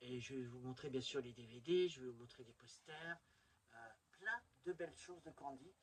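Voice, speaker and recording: A man's voice: medium pitch, moderate pace, husky. A man chatting casually and enthusiastically as he hosts a rambling home video about his collection. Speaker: host of a collectors' video channel. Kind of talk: casual vlog chat showing a collection. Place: indoors at home. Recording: noisy home recording.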